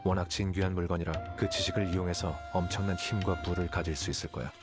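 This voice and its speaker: A man playing a calm, aloof, calculating young scholar in a video game, explaining things in a composed, matter-of-fact way. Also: low voice, fast, over loud music, natural voice. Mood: calm, explanatory. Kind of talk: scripted game dialogue, Korean voice acting.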